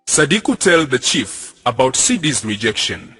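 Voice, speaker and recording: A man's voice: medium pitch, big pitch swings, moderate pace, sharp tone. A young man reading scripted narration that links scenes of a play. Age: young adult. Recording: music playing behind the narration.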